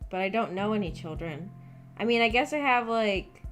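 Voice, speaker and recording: A woman's voice: medium pitch, lively intonation, normal speed, fairly bright tone. Hesitant young American woman streamer over music. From United States. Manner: hesitant, thinking aloud, trailing off. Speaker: live streamer, visual novel book club host. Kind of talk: casual livestream chat with viewers. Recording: background music under the voice. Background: background music.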